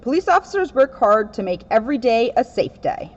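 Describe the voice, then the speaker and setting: Woman officer, informal kids' read-aloud and demo presenter, higher-pitched voice, slightly muffled recording with faint noise. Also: sing-song, a little fast, a little mellow. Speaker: police officer reading to children and showing police equipment. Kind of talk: story read-aloud and informal explainer for children. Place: in and around a police car. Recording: muffled, low-grade audio with faint noise.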